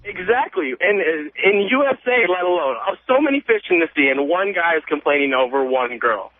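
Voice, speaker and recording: A man's voice: on the high side, expressive, a little fast. A nineteen-year-old American man calling a radio show, chatting casually in a cocky, emphatic, rambling way. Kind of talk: casual phone-in chat. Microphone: telephone. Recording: narrow noisy phone line on radio.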